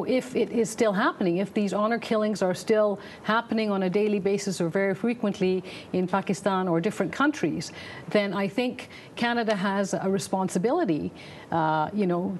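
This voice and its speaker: A woman's voice: mid-range voice, a little mellow, a little hiss or hum. A woman, a social worker, speaking thoughtfully as a guest on a TV panel, hedging with fillers. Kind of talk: TV panel discussion.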